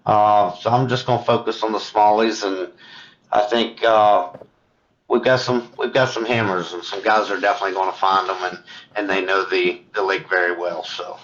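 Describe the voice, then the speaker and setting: A middle-aged man chatting casually about fishing as a guest angler, halting and repeating words as he thinks aloud over a remote call. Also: fairly low pitch, fairly even intonation, fairly bright tone. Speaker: guest, competitive kayak angler. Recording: dull lo-fi call audio.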